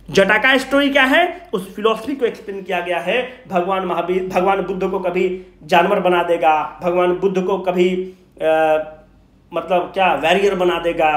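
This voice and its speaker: A man's voice: high-pitched, fairly bright tone. A man teaching an informal exam-coaching class, emphatic and repetitive, posing questions he answers himself and mixing in English phrases. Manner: emphatic, repeats key points; mixes English phrases into Hindi. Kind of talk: informal history lecture with rhetorical questions. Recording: echoey room.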